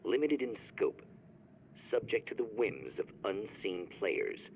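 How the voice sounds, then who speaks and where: An actor playing an ominous narrator with a portentous, drawn-out delivery. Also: male voice, lowish voice, very animated, vocal fry, slight background noise, heavily acted, low-quality recording. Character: ominous male TV show narrator. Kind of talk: video game cutscene acting.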